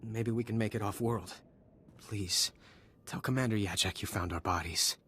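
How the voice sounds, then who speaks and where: A man's voice: lowish voice, normal speed, pushed and bright, breathy edge. An actor playing a weary, defensive male soldier in a video game, with a stammering, pleading manner. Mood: subdued, pleading. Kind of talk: dramatic video game dialogue.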